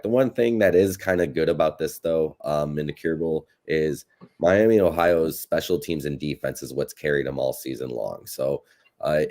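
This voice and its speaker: A man's voice: extremely low pitch, darkish tone. A man with an American accent co-hosting a sports show, chatting casually and conversationally about college football in a rambling way.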